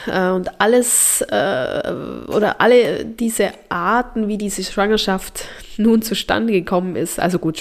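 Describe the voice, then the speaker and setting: A woman's voice: medium pitch, gravelly. A woman hosting a podcast as a childbirth coach, talking informally to expectant mothers, slightly nasal from a mild head cold, with frequent hesitations.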